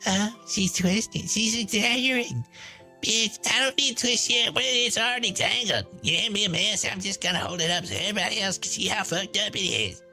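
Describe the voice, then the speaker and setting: A man's voice: high voice, animated, a little fast, bright, forceful tone, faint music behind, pitched above the performer's own voice, heavily acted. A man voicing a brash, smug, chain-smoking woman in a dramatized monologue, sneering, profane and boastful. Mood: mocking, indignant.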